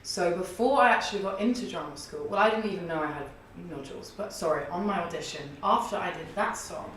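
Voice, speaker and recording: A young woman with a husky, low voice, chatting as a podcast guest and rambling through stories with frequent laughs. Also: quick pace, a bit bright. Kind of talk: casual interview chat. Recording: echoey room, distant mic, faint noise.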